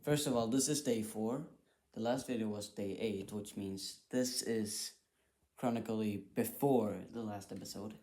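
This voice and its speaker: A man's voice: lowish voice, measured pace, mellow. A man giving casual reaction commentary, thinking aloud in a hesitant, repetitive way. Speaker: reaction video host.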